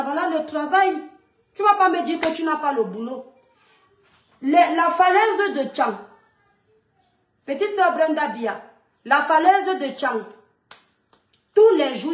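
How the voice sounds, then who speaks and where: Thirties woman with a Cameroonian French accent, high voice, scolding monologue, roomy echo. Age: 30s. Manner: scolding, lecturing, rhetorical questions. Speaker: mother addressing a public figure online. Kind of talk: monologue video rant.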